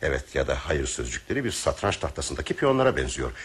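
A very deep, badly husky male voice plays a cynical train passenger in a radio drama. He muses with irony and formal wording, his delivery swinging widely as he philosophizes. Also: sing-song, fast talker, full character voice. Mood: philosophical, bitter. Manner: theatrical, musing, ironic, formally worded. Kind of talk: Turkish radio theatre acting.

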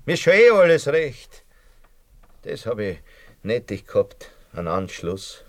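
A man acting a put-upon, excitable tavern keeper with a Viennese accent in a radio play, grumbling, stammering over repeated words and turning comically pompous. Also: medium pitch, measured pace. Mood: grumbling, fed up. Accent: Viennese Austrian.

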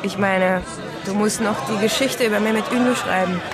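A woman's voice: mid-range voice, steady tone, normal speed, natural voice. An actress playing a friendly, inquisitive woman at a party in a radio drama. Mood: friendly, questioning. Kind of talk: German radio drama acting. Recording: loud party music and crowd.

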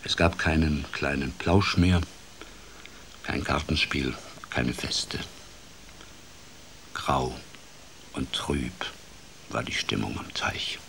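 Ein Mann, der als Großvater ausdrucksvoll eine Kindergeschichte in einem Hörspiel erzählt. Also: male voice, rumbling low, slow, gravelly, long pauses, fairly bright tone, a little hiss or hum, rough recording. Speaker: storyteller playing a grandfather. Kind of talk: expressive scripted children's storytelling.

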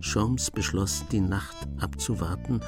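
A man narrating a scripted radio drama in a measured storytelling manner. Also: sits low, music in the background.

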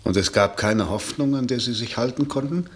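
An actor in a German radio play playing a reserved senior public prosecutor, asking questions in a formal, measured, slightly hesitant way. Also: male voice, mid-range voice, natural voice. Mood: restrained probing.

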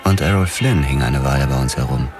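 An actor playing a brooding, world-weary detective who narrates a radio drama in a measured, sombre manner. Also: male voice, a bass voice, music in the background. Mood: reflective. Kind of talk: scripted first-person radio drama narration.

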